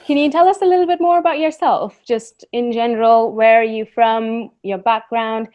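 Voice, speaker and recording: A woman hosting a casual webinar chat as a volunteer, welcoming in manner and pausing often with ums and restarts, on a computer mic over a video call. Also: on the high side, steady tone, darkish tone. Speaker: host and volunteer. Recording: dull lo-fi webinar audio.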